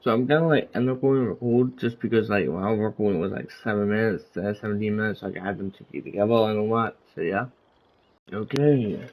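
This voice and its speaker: A man's voice: on the low side, a little fast, lo-fi sound. A man giving casual, offhand commentary while playing a video game. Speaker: game streamer. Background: game music.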